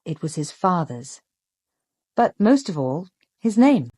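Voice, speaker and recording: A perceptive British woman sleuth, speaks in a slightly low voice with measured, deliberate phrasing. The sound is dry and studio-close, and her manner is calm and probing. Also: breathy edge, dry recording, heavily acted. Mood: quietly probing. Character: perceptive, composed young woman amateur detective. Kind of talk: radio drama acting.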